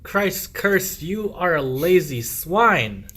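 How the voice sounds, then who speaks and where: A man playing a downtrodden, bitter shepherd in a radio play, declaiming verse in measured phrases. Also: a little high, animated, bright, forceful tone, exaggerated voice. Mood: gruff impatience. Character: downtrodden male shepherd, bitter at rich oppressors.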